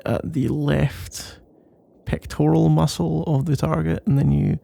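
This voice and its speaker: A man's voice: a little high, steady tone, unhurried, gravelly, dark tone. A man doing a casual, rambling ASMR boyfriend roleplay in a playful, mock-boastful and meandering way.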